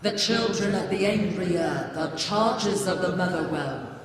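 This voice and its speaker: Slow, echoing, vengeful nature spirit. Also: male voice, sits high, animated, recorded from a distance, crowd noise. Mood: vengeful, portentous. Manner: solemn, poetic, portentous. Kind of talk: slow, chanting dramatic monologue. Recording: cavernous echo, long reverb, noisy ambience.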